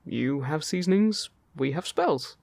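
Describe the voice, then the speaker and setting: Playful man acting a male fairy, slightly creaky voice. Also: a little high, animated, a little slow, darkish tone, no echo. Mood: cheerful, inviting. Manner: chatty, teasing, casual and reassuring. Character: friendly, playful, teasing male fairy guiding a human. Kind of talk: scripted audio roleplay addressed to the listener.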